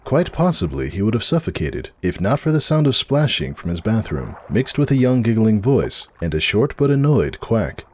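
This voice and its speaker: A man's voice: lowish voice, sing-song, normal speed, hardly any pauses, darkish tone. A man reading a story aloud as a dramatic, scripted narrator. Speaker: narrator of a fan fiction reading.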